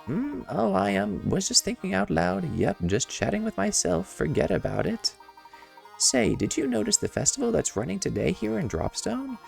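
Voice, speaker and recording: A man voicing a chatty, evasive male villager from a game, in a high, hesitant sing-song voice. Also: wildly swooping pitch, normal speed, creaky, darkish tone, soft background music, exaggerated voice. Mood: flustered.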